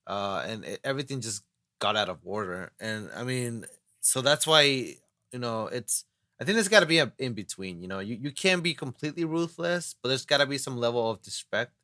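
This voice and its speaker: A man's voice: medium pitch, expressive, normal speed, pushed and bright, no echo, clean studio sound. A man co-hosting a casual podcast chat, talking earnestly and rambling with lots of filler phrases. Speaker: podcast co-host and voice actor.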